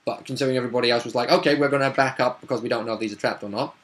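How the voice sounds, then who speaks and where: A man casually recapping a tabletop roleplay session as one of its players, in a rambling, halting, unscripted way. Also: mid-range voice, fairly even intonation, fairly bright tone.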